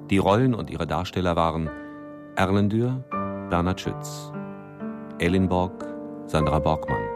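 A man reading scripted radio drama credits as an announcer, measured and formal. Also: deep voice. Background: piano music.

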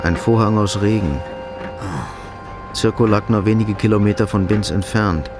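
A man narrating a radio drama in a sober, measured, literary storytelling style. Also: lowish voice, music in the background.

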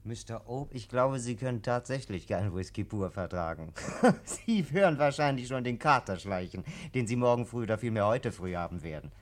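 An actor playing a down-to-earth, teasing police sergeant in a 1950s radio play, jovial and blunt. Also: male voice, mid-range voice, animated, normal speed, hardly any pauses, natural voice. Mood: amused. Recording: noisy vintage 1950s radio recording.

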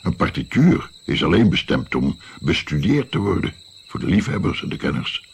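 An older musician telling his past in a radio play. His deep voice creaks and moves expressively as he muses and mocks himself. Faint nature ambience sits behind him. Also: normal speed, slight background noise, heavily acted. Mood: reflective. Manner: musing, hesitant, expressive. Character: older musician looking back, reflective, self-mocking narrator. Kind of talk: Dutch radio drama, storytelling in dialogue.

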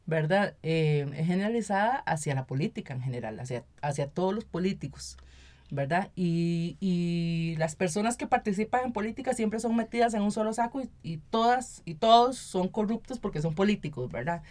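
A woman's voice: fairly low pitch, expressive, moderate pace, a little mellow. Costa Rican woman explaining in an interview. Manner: explanatory, often tags statements with "¿verdad?". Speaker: interview guest, local council member and activist. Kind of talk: conversational radio interview.

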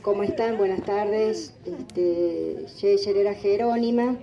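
A woman in her forties whose first language is Guarani, speaking as a guest at a gathering, halting, with many fillers and restarts. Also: medium pitch, gravelly, far from the mic, highs cut off, loud music behind the voice. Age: 40s. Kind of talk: recorded address at a gathering.

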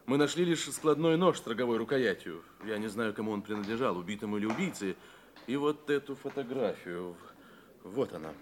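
An actor playing a factual male police investigator, giving a brisk report in a radio play. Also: on the low side, lively intonation, slight background noise, close to an everyday voice. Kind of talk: Russian radio play acting.

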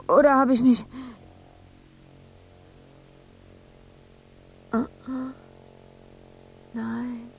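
Tormented worn-out lady sculptor in a radio drama, dark mellow voice, drifts into whispers, super slow, bit of background noise. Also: on the high side, low-quality recording. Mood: strained, awed. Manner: halting fragments, often dropping into breathy whispers. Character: anguished, exhausted, obsessive woman sculptor in an asylum. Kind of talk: dramatic radio play monologue.

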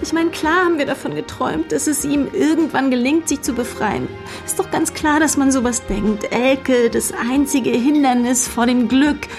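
A young actress playing an emotional, defensive young lover being questioned in a German radio crime drama, often hesitating. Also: female voice, high voice, normal speed, music in the background. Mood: distressed. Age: young adult. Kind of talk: German radio play acting.

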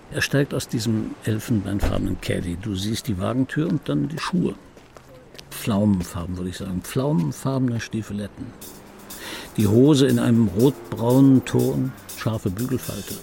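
An actor playing an ageing, wry and obsessive dubbing artist in an intimate radio drama monologue, speaking deliberately with pauses and repeated phrases in a gravelly, husky voice. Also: male voice, on the low side, dark tone, slight background noise. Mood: wry, reminiscing. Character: ageing male dubbing actor recalling his screen star, wry, obsessive. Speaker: narrator of a radio play.

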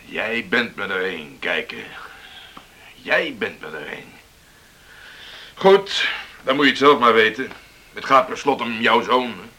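A man acting in a Dutch radio drama as a gruff, stubborn, blunt lifeboat skipper who is good-hearted underneath. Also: lowish voice, animated, unhurried, pauses often, pushed and bright. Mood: grudging.

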